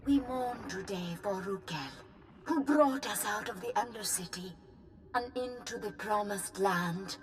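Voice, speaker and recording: A woman's voice: medium pitch, measured pace, soft background music, close to an everyday voice. A woman playing a grave, mournful survivor recording a solemn journal in a video game.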